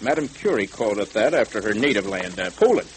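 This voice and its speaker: An actor in a vintage radio serial playing a genial police captain, explaining things in a folksy, avuncular way. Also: male voice, lowish voice, sing-song. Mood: fondly explaining. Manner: avuncular, explanatory, hesitant pauses. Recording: crackly old radio recording.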